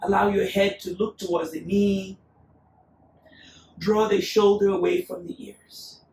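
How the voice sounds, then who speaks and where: A woman teaching a yoga class, calmly cueing breath and poses, her voice still recovering after being lost. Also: medium pitch, a little slow, vocal fry. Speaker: yoga instructor. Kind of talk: guided yoga class instruction. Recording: echoey room, voice from a distance.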